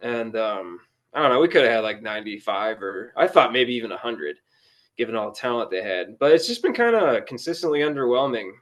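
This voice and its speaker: A man's voice: mid-range voice, expressive, brisk. A man of about thirty-one chatting casually as a guest sports fan, with a rueful, self-deprecating humour. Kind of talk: casual chat.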